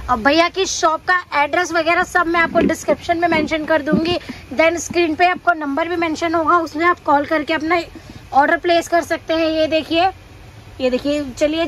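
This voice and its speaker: A woman's voice: sits high, steady tone, moderate pace, fairly bright tone, slight background noise, poor audio. A woman hosting a shop tour vlog, pointing out products and repeating "look here" as she goes. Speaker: vlogger host. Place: wholesale cosmetics shop.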